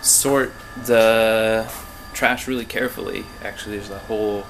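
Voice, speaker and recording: A young man giving a casual, halting, understated walk-through tour on a handheld camera. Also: fairly even intonation, fairly bright tone, a little hiss or hum, poor audio. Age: young adult. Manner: low-key, halting, understated. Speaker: exchange student giving a tour. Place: indoors in a student dormitory, walking through rooms.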